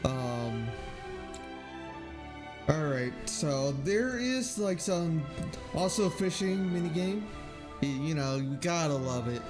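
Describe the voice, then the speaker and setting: Male game streamer, slightly high voice, halting and unhurried, casual chat over light music. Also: expressive. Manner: hesitant, halting, thinking aloud. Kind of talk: casual livestream chat, rambling review.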